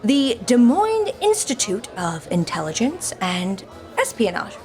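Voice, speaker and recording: A woman's voice: fairly high pitch, wildly swooping pitch, unhurried, noisy background. A woman playing a quirky, coy character who poses as an oddball in a sitcom podcast, mock-serious in manner. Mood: playful, mock-serious. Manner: mock-serious, speaks in third person. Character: quirky, coy woman posing as odd Inga.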